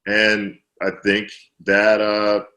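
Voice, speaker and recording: A man being interviewed as an author, explaining at length in relaxed conversation, with fillers and restarts. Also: fairly low pitch, very slow.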